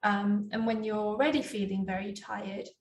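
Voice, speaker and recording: Roomy far-off mic, woman chatting casually about health, kinda hesitant and reflective, medium pitch. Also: fairly even intonation, normal speed, darkish tone, a little hiss or hum. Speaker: doctor co-hosting a health talk. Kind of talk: casual conversational discussion. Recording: echoey room, distant mic.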